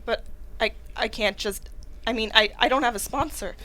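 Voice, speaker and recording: Frightened female aid worker, acted by a woman. Halting, startled delivery, raised sharp voice, dramatic table read. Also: a little high.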